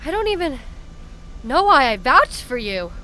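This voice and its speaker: A woman's voice: high-pitched, very animated, unhurried, bright, forceful tone, slight background noise, exaggerated voice. A woman playing a young peacemaking daughter in an audio drama, placating and emotional. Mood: hurt.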